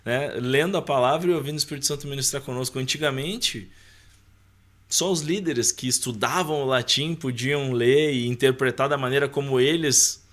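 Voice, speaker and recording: A man's voice: mid-range voice, normal speed, a bit bright. A man with a Brazilian accent speaking as a podcast guest, sharing personal faith and life in an informal, conversational way, often checking for agreement.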